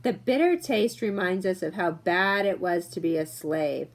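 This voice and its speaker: A woman's voice: on the low side, animated, moderate pace. A woman voicing a kindly old grandfather who explains family traditions in a picture-book read-aloud. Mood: explaining.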